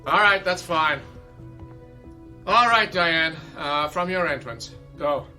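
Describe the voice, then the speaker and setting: A man's voice: on the high side, slow, pushed and bright, exaggerated voice. An actor playing a demanding male theater director who gives commanding, clipped orders in a radio drama. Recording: radio drama with faint music.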